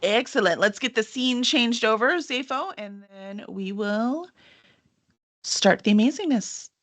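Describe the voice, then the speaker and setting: Female host, bright forceful tone, fairly high pitch, casual and chatty. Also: animated, unhurried. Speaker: host, book publisher. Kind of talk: casual, chatty event hosting.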